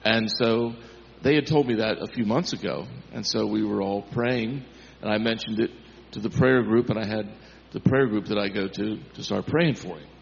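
A man with a deep voice telling a church testimony in a folksy way that builds into preacher-like emphasis. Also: moderate pace, creaky, slight background noise. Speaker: congregation member. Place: church sanctuary. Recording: echoey church hall, dull sound.

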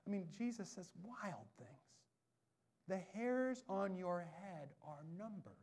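An American man from the Pacific Northwest preaching a sermon, loud and animated, dropping into quiet asides before rising to booming emphasis. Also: slow pace. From Bellingham, Washington, USA. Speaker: pastor. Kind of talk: expository sermon.

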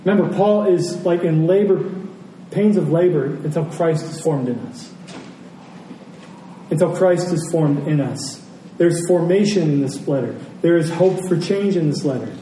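A man's voice: on the high side, normal speed, mellow. A man preaching a sermon as a pastor, earnest, repeating key phrases for emphasis. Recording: roomy, distant pickup with faint noise.

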